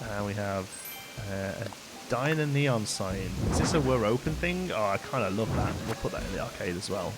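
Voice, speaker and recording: A man's voice: expressive, moderate pace, rough recording. Chatty man streaming a game. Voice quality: deep voice. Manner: chatty, reads out gift notes and thanks viewers. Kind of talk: casual live gameplay chat. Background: game music.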